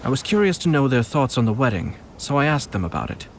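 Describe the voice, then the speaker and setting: An actor voicing a young nobleman who heads his clan, speaking in a measured, polite and quietly calculating way. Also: mid-range voice, big pitch swings, soft background music. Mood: thoughtful. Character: young nobleman clan head, calm, shrewd, courteous. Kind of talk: game voice acting.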